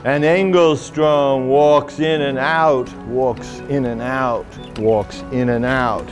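A man playing a rambling, melancholy middle-aged poet in a theatrical monologue, halting and musing, repeating phrases to himself. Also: medium pitch, steady tone, over music, close to an everyday voice. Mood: incantatory. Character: rambling, melancholy washed-up male poet, wistful and self-doubting. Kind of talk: theatrical monologue with recited verse.

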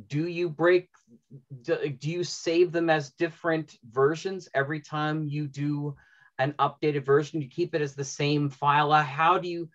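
A man's voice: medium pitch, a bit bright. Middle-aged man moderating, dull call audio. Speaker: panel moderator. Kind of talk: casual panel discussion. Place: indoor room, video call. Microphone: video call.

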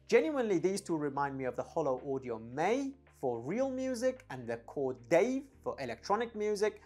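A woman giving a casual, opinionated gear review, speaking emphatically as a reviewer. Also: low-pitched. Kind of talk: casual product review talk.

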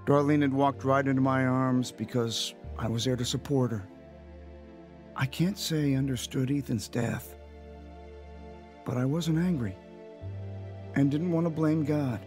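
A man's voice: mid-range voice, unhurried, pauses often, darkish tone, soft background music, close to an everyday voice. A man acting a guilt-ridden, guarded young father in an audio drama, confessional and macho. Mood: somber, reflective. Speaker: lead actor and narrator. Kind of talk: audio drama acting and first-person narration.

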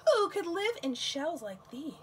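A woman's voice: extremely high pitch, sing-song, measured pace, a bit bright, a little hiss or hum. A woman reading a picture book aloud to young children as their teacher, expressively, often putting questions straight to them.

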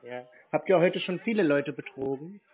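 A man, teasing a phone scammer in a playful, deadpan way. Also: a little high, moderate pace, slightly rough, phone-quality sound. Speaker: channel host baiting a phone scammer. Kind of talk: casual prank phone call.

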